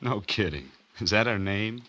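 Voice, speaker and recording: A man's voice: lowish voice, animated, normal speed, pushed and bright. An actor playing a broke, wry drifter who tells his story in laconic hard-boiled 1940s radio noir style. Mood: wry, cool. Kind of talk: 1940s radio noir acting and first-person narration. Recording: vintage 1940s radio recording with music behind.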